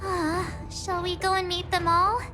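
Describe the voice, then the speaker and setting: A woman's voice: very high-pitched, little pitch movement, measured pace, over music. A woman voicing a cheerful, chatty teenage girl traveler in a video game. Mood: wistful, chatty.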